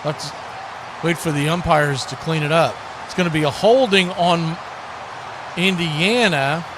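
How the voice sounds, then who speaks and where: Male play-by-play football announcer, American, fairly high voice, clipped phrasing, clear background noise on a live stream. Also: unhurried. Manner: narrates action in short clipped phrases, repeating words. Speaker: play-by-play sports commentator.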